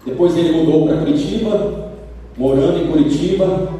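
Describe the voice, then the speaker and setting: A man with a Brazilian accent preaching a sermon, telling stories with lively acted-out quotes. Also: on the high side, normal speed, far from the mic. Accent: Brazilian Portuguese. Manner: animated storytelling, acts out quoted voices. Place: church sanctuary. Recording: roomy church hall from afar.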